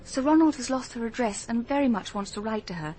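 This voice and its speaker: An actress playing an earnest, observant young detective, in radio drama dialogue and first-person narration. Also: female voice, on the high side, expressive, fast talker, warm tone, a little hiss or hum, lightly acted. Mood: polite. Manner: earnest, thoughtful, literate narration.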